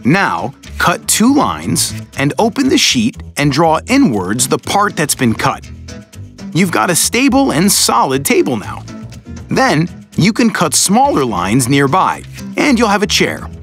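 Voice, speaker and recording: High-voiced, very animated male children's narrator. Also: measured pace, soft background music. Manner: expressive, storybook delivery. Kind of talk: playful scripted storytelling for children.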